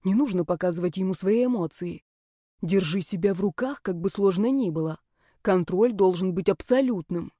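A woman's voice: mid-range voice, normal speed, mellow, dry recording, lightly acted. Scrappy, loyal young naga guy performed by a woman, slangy, protective, dry audiobook sound. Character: brash, loyal young male naga snake friend.

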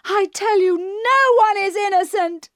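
A woman voicing an angry twenty-year-old female hijacker in a read-aloud story, her voice rising high and shrill. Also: moderate pace, fairly bright tone, no echo, clean studio sound. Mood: furious.

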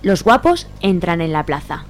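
A woman's voice: lowish voice, big pitch swings, normal speed. A woman from reading scripted narration for a radio serial. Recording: faint hum and noise.

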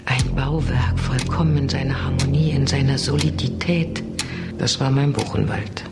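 Proud woman, film drama, very deep creaky register, heavy music. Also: normal speed. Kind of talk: film trailer dialogue. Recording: loud music over the voice.

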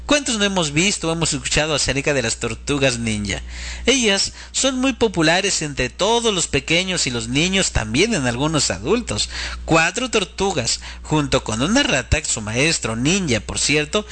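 A man with a fairly high voice and a very bright, forceful tone delivers a religious talk. He sounds earnest and instructive, addressing his listener directly as a middle-aged teacher of the faith would. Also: big pitch swings, normal speed. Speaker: religious programme host. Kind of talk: religious talk warning against children's cartoons.

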